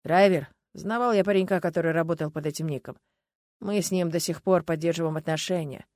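A woman voicing a calm, wry male hacker who muses unhurriedly, in an audiobook. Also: on the low side, fairly quick, no echo, studio quality, close to an everyday voice. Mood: musing.

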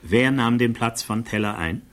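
An actor playing a formal, precise attorney who questions a witness in a German radio play. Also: male voice, mid-range voice, moderate pace, fairly bright tone, lightly acted. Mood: formal, probing. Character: probing male defense attorney questioning a witness.

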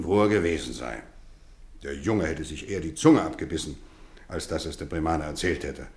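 A warm, strict boarding-school housemaster in a vintage radio play. He speaks low and lively, his pitch rising and falling, with a teasing kindness. A roomy studio echo hangs around his voice. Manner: warm, measured, gently teasing. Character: kindly strict male boarding-school teacher, middle-aged. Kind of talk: 1950s radio drama acting.

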